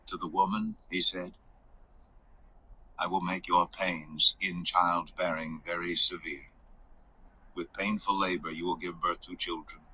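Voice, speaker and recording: A man reading scripture aloud in a measured, formal delivery. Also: low voice, sharp tone, slight background noise, low-quality recording.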